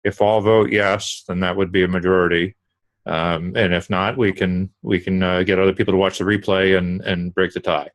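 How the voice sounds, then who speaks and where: Stammering man on a video call, low voice. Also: flat delivery, normal speed. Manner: stammering repeats. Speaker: meeting officer. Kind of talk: running an online club meeting.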